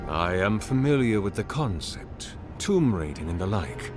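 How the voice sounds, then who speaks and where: Slow, courtly ancient oracle. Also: male voice, on the low side, big pitch swings. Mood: courtly, troubled. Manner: formal, measured, grandiloquent. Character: ancient male oracle, courtly, solemn servant of a god. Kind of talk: fantasy video game dialogue. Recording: music behind the voice. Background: game music.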